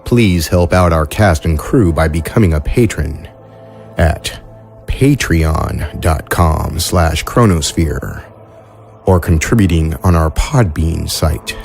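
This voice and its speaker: A man's voice: very deep voice, slow pace, gravelly, a little mellow, soft background music. A man reading scripted closing credits for an audio drama as one of its cast.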